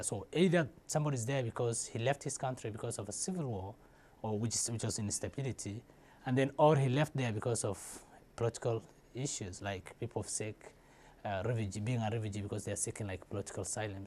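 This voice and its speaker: A man's voice: on the low side, darkish tone, a little hiss or hum. A young man from Somalia telling his life story in a hesitant, unscripted way, with many fillers and restarts. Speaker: interviewee. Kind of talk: casual personal account.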